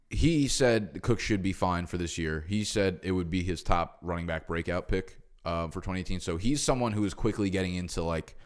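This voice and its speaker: Deep-voiced man in casual sports chat. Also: clean tone. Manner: loose, profane, rambling. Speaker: podcast co-host. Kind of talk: casual fantasy football chat.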